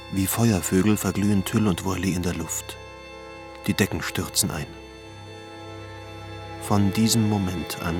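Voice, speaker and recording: A man reading scripted literary narration for a radio drama. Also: low voice.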